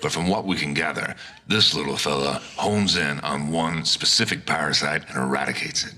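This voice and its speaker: A man's voice: very deep voice, moderate pace, sharp tone, slight background noise, full character voice. A man acting as a character in a science-fiction TV drama. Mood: explanatory. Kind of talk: screen acting.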